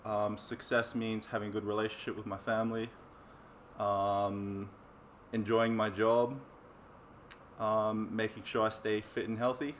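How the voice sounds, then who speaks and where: A man's voice: on the low side, unhurried, a little hiss or hum. A man, chatting easily as an interview guest and coach, with frequent ums. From New Zealand. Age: 20s. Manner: easygoing, many ums, relaxed laughs. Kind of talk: casual interview chat.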